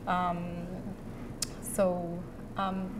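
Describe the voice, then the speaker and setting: Flat-toned woman from Haiti. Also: fairly low pitch, extremely slow, noisy background. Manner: earnest, steady, hesitant fillers. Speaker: poet and educator. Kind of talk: interview answers.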